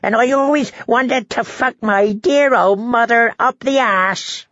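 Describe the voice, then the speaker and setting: A man's voice: extremely high pitch, slow, pushed and bright, lo-fi sound, slight background noise, voice pushed up, full character voice. A man voicing a depraved, gleefully cruel fool in an audiobook, cackling and wheedling.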